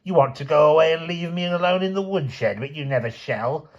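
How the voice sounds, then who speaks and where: Shrill screeching old grandmother, as a man puts her on. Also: brisk, natural voice. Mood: possessive. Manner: wailing, screaming. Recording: dull lo-fi recording.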